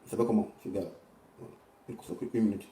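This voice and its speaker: A man's voice: fairly low pitch, fairly even intonation, fast talker, warm tone. An Ivorian man hosting an informal livestream commentary, rambling and repeating himself in a blunt, crude way. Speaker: livestream host. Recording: echoey room, distant from the mic.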